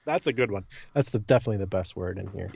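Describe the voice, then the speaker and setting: A man's voice: low voice, rapid-fire, gravelly, a little mellow, dull audio, a little hiss or hum. A man chatting casually as a podcast guest. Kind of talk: casual chat.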